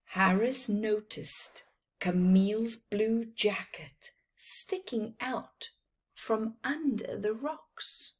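A woman's voice: mid-range voice, slightly rough. A middle-aged woman reading a picture book to children, expressively and with dramatic emphasis. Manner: expressive, slow deliberate storytelling with dramatic emphasis. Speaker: children's storytime reader. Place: small plain room indoors.

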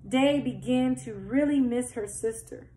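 Young female children's storytime reader. Very unhurried, explains as she goes, roomy home recording. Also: fairly high pitch, dark tone, slight background noise. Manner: simple explanatory asides, questions to young listeners. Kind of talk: reading a picture book aloud, with asides to children. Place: small plain-walled room indoors.

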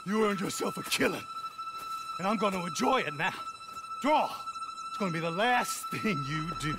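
High-pitched cantankerous old Western gunslinger. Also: male voice, animated, moderate pace, loud music behind the voice. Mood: vengeful. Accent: rural American. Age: older. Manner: blustering, folksy. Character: cantankerous old former gunslinger turned hog farmer, threatening. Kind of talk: video game western acting. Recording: game scene with outdoor noise.

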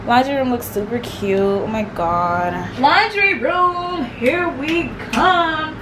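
A young woman giving a casual house tour vlog, chatting in an animated, slangy, gushing way. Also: high voice. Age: young adult. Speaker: vlogger. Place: indoors, rooms of a house. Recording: echoey rooms with clear background noise.

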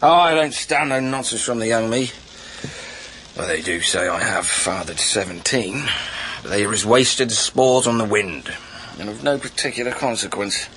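A swaggering highwayman from a radio drama, with a sharp, forceful edge. He taunts in florid, archaic phrases with rough grammar and playful menace. Some ambient noise sits behind him. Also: expressive, normal speed, poor audio. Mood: theatrical boasting.

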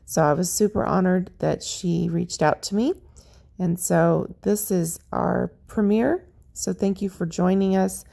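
A woman narrating her paint pouring video in a chatty, friendly way, her voice a little raspy. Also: on the low side, unhurried, dark tone. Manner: chatty, friendly, lists colors as she works. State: slightly raspy voice. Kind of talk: casual how-to narration over craft footage.

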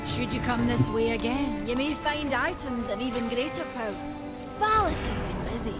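A woman's voice: fairly high pitch, lively intonation, normal speed, lightly acted. An actress voicing a proud female blacksmith merchant in a video game, with a Scottish accent and formal old-world phrasing. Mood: solemn. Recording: game audio over music.

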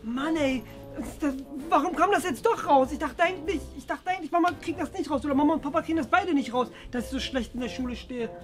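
A man's voice: extremely high pitch, quick pace, soft background music. A young man playing an evasive, whiny teenage schoolboy in an improvised family sketch, hesitant and defensive. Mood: worried. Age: young adult. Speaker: actor in a family web series. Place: small bright rooms indoors.

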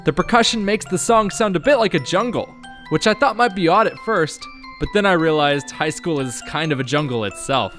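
A man's voice: fairly high pitch, expressive, normal speed, a bit bright, soft background music. A young man narrating a casual vlog in a wry, self-deprecating way. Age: young adult. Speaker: vlogger, game developer.

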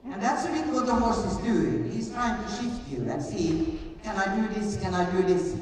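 A woman's voice: mid-range voice, lively intonation, moderate pace, a little mellow. A middle-aged woman working as a riding coach, explaining and demonstrating in a hands-on way at a live clinic. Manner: hands-on, explanatory, repeating short commands. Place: large indoor riding arena. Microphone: picked up from far camera in audience. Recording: distant, very echoey arena, background noise.

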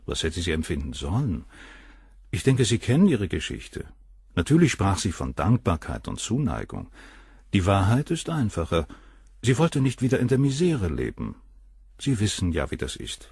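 Radio crime drama: a condescending doctor, very low and husky, lively intonation, dry recording. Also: male voice, moderate pace, no echo, full character voice. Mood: cold. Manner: dismissive, clinical, condescending. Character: cold cynical male doctor, middle-aged suspect. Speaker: doctor questioned by the inspector. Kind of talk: radio play acting.

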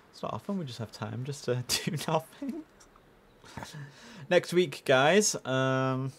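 A young man chatting casually and easily as he hosts a live gaming stream, speaking into a headset. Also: medium pitch.

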